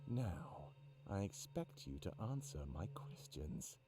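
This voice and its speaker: A man voicing a sinister, ruthless anthropomorphic interrogator who makes deliberate, taunting threats in a visual novel. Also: sits low, sing-song, vocal fry, pitched below the performer's own voice, exaggerated voice. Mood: demanding, threatening.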